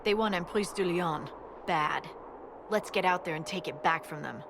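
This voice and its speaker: An actress playing a plain-spoken, wry army scout, reporting in a dry, matter-of-fact way in a video game. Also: female voice, lowish voice, moderate pace, vocal fry, noisy background. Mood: grim, wry. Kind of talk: video game dramatic acting.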